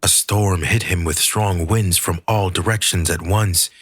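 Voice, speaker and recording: A man reading a scripted story aloud as an audiobook narrator, with a husky voice. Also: deep voice, fairly even intonation, measured pace, dry recording, studio quality.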